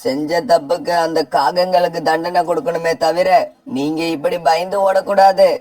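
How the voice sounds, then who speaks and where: A man's voice: a little high, little pitch movement, normal speed, darkish tone, close to an everyday voice. A man voicing a righteous, bold male bird in a children's cartoon story. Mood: firm, encouraging.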